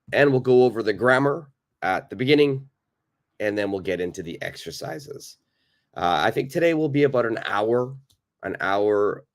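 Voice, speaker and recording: A man with a cold and a raspy voice, teaching a live online English lesson, greeting viewers and repeating points slowly. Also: sits low.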